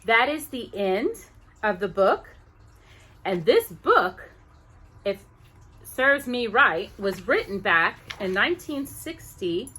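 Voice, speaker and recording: A woman's voice: medium pitch, sing-song, takes it slowly, bright, forceful tone, husky. A woman reading a children's picture book aloud expressively, with casual asides to the listener.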